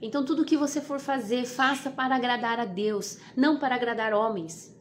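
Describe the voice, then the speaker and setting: A Brazilian woman with a distinctly high voice delivers an informal devotional message. She exhorts earnestly, repeating phrases to drive them home and putting questions to the listener. Light ambient noise sits behind her speech. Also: fairly quick. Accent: Brazilian Portuguese. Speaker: devotional host and preacher.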